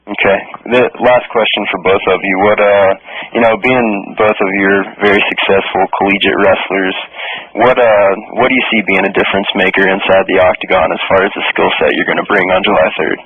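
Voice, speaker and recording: A husky-voiced male reporter casually and haltingly asking questions on a press call over a tinny phone line. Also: low voice, fairly even intonation, dry recording. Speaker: newspaper reporter. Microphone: telephone.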